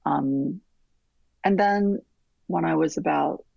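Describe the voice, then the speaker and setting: A middle-aged woman from Taiwan, an architect answering interview questions in a thoughtful way, with many restarts and soft trailing fillers, heard over a video call. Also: low voice, slow, creaky, no room sound, muffled recording. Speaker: architect guest. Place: home room with bookshelves, remote call.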